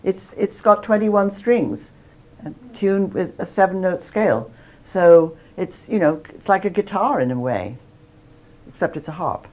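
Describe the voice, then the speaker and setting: A woman's voice: on the low side, animated, a little slow, a little mellow. A woman academic speaking at a talk and answering audience questions, chatty and self-deprecating, with frequent restarts.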